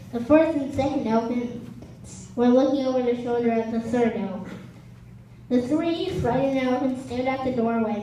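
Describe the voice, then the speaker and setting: Mellow woman narrator in a very echoey room. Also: on the high side. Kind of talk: scripted story narration. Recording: echoey room, distant mic, faint noise.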